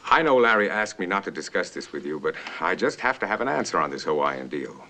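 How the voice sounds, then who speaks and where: A man's voice: deep voice, very animated, fast talker, pushed and bright, exaggerated voice. An actor playing an impatient but courteous businessman pressing his case in a film drama. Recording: faint background noise.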